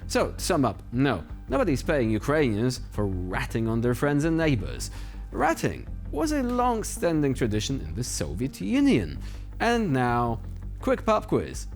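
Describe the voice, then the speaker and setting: A male news host delivers scripted satirical commentary with a sardonic edge. His intonation swings dramatically around an average pitch, and music plays behind him. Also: huge pitch swings, unhurried. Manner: sardonic, mocking.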